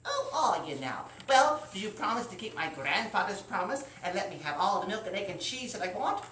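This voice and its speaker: Little male leprechaun played by a woman: cheeky, wily, high swooping pitch, storybook style, echoey room. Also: very animated, brisk, a bit bright. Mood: cheeky, bargaining. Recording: roomy, distant mic with faint noise.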